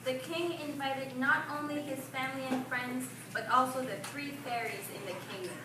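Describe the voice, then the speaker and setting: High-voiced woman storyteller in an echoey room. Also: fairly even intonation, normal speed. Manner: instructive, prompts listeners with questions. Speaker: library staff narrator of a puppet show. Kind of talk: storytelling to young children. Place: public library event room. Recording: echoey room from far off, noisy.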